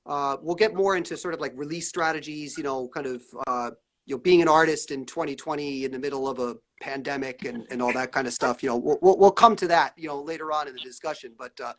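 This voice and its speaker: A man's voice: on the high side, fast talker. A man hosting a live-streamed interview, talking loosely and rambling with many fillers such as "uh" and "you know". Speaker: interview host.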